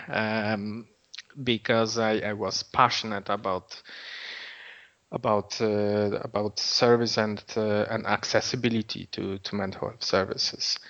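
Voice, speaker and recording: A man's voice: lowish voice, fairly bright tone. A man answering interview questions in a hesitant way, full of fillers and repeated words. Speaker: nonprofit founder, guest. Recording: dull lo-fi audio.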